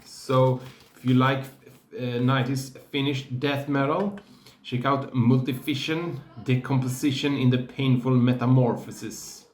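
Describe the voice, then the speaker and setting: Slow, halting man in an echoey room. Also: mid-range voice, little pitch movement. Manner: slow, hesitant, many fillers. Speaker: music reviewer. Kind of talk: casual spoken review.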